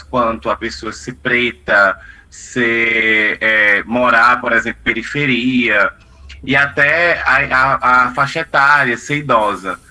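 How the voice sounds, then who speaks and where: Halting man asking a long question. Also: medium pitch, pushed and bright. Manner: halting, searching for words with many fillers. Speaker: course participant asking a question.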